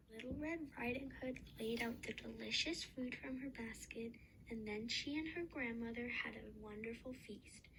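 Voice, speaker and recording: A woman with a fairly high voice narrates a children's fairy tale. She reads it aloud as a storyteller, with clear background noise on the recording.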